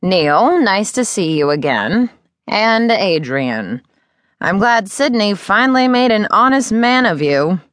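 A woman voicing a brisk, kindly older woman who mentors in magic, in an audiobook. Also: medium pitch, big pitch swings, no echo, clean studio sound, pitched above the performer's own voice. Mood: brisk fondness. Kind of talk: audiobook character dialogue.

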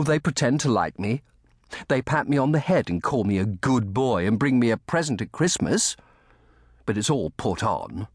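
Storybook orphan boy, played by a man: frail, stubborn, stammering, high and animated, close dry sound. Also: dry recording. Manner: stammering, repeating words in protest. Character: frail, upset, stubborn orphaned young boy of genteel household.

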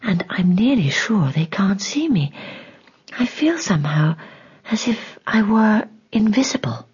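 A woman's voice: medium pitch, lively intonation, moderate pace, darkish tone, slightly rough, muffled recording, voice pushed up. A woman voicing a curious, polite and kindly young girl in a storybook, speaking earnestly. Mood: hushed wonder. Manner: whispers to herself, exclaims earnestly.

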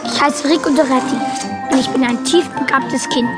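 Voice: high-pitched, moderate pace, loud music behind the voice, close to an everyday voice. An actor playing an earnest, quirky young boy who narrates his story matter-of-factly in a children's audio drama. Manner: matter-of-fact, childlike, explanatory. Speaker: narrator and main character.

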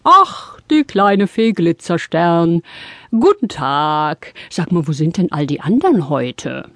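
Animated, flustered old Santa Claus. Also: male voice, a little high, no echo, full character voice. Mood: friendly, wistful. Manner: hesitant, puzzled, drawn-out exclamations. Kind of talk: children's audio play acting.

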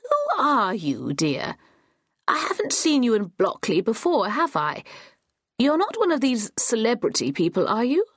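A woman voicing a confused elderly woman in her eighties who dotes on dogs, speaking slowly with high, questioning tones. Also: wildly swooping pitch, breathy edge, dry recording, clean studio sound, heavily acted.

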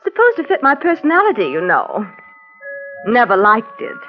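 An actress playing a bitter, sardonic wife in a 1940s radio drama, with a cutting, reproachful manner. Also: female voice, a little high, wildly swooping pitch, normal speed. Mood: cold, bitter. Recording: muffled old radio recording, music behind.

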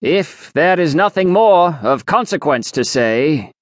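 A man's voice: on the high side, expressive, slow pace, dry recording, clean studio sound, pitched above the performer's own voice. A man voicing a pompous male alchemist sage who makes formal, ceremonial proclamations in an audiobook. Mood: proclaiming. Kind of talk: audiobook character voice.

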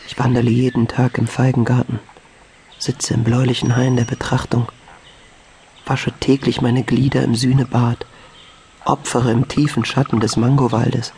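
An actor playing a restless, earnest young Brahmin in a literary radio drama, solemn and introspective. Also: male voice, medium pitch, flat delivery, moderate pace, vocal fry, a little mellow, a little hiss or hum, natural voice. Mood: melancholy, dissatisfied. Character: restless young Brahmin man, earnest, brooding, unfulfilled seeker. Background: music.

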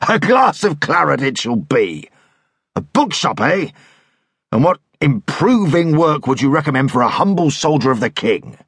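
A man voicing a tall, swaggering royalist cavalry officer who banters loudly and gives orders. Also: fairly high pitch, sing-song, normal speed, husky, no echo, studio quality, full character voice. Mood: boisterous. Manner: loud, bantering, commanding. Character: boisterous tall royalist dragoon leader, male, swaggering.

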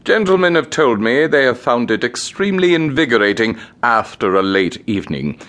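An unflappable English valet in a radio play. His delivery is formal, precise and deferential, with a dry edge of polite disapproval. The melody of his speech moves widely, at a middle male pitch. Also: big pitch swings, normal speed, a bit bright. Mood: courteous, composed. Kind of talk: radio comedy acting.

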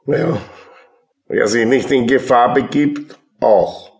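Creaky, sardonic Austrian detective. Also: male voice, medium pitch, takes it slowly. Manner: deadpan, sardonic. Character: dry, resigned, sardonic male police detective. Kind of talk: comic radio play acting.

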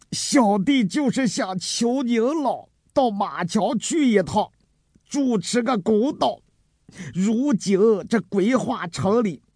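A man's voice: sits high, a little mellow, dry recording, clean studio sound, heavily acted. A man playing a beaten-up underling who whines and pleads deferentially to his boss in an audiobook drama. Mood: pleading. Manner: tearful, pleading, deferential, drawn-out. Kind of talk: dramatised audiobook character acting.

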